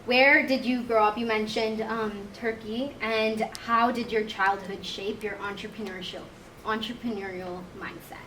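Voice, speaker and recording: A woman's voice: on the high side, fairly even intonation, measured pace, fairly bright tone, slight background noise, low-quality recording. A young woman hosting a live podcast, upbeat and reading prepared questions. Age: young adult. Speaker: podcast host. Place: live event room.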